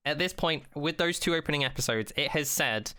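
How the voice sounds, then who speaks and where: Bright, clear-voiced man ranting casually. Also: fairly high pitch, a little fast. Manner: animated retelling with mock quotes, frequent swearing. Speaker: podcast co-host. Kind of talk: casual chat, opinionated rant about a TV show.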